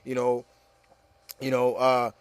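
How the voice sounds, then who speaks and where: A man's voice: mid-range voice, completely flat delivery, takes it slowly, smooth, dry recording. A man hosting a casual, opinionated discussion, talking emphatically and repeating himself with plenty of "you know". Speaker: host of an opinion show.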